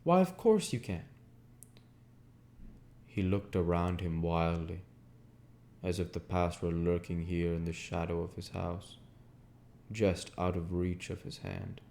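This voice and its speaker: Deep voiced guy reading a classic novel out loud, audiobook narration. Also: completely flat delivery, a little slow, creaky, slight background noise. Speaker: audiobook reader. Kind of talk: scripted literary narration.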